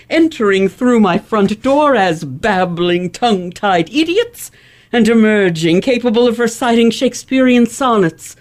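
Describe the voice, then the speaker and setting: A woman's voice: mid-range voice, a little mellow, natural voice. A woman playing a haughty, wealthy Victorian elocutionist in a radio play, speaking with grandiose, precise enunciation. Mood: boastful, pompous. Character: haughty, wealthy, indignant lady elocutionist of 1870s New England. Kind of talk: old-fashioned radio play acting.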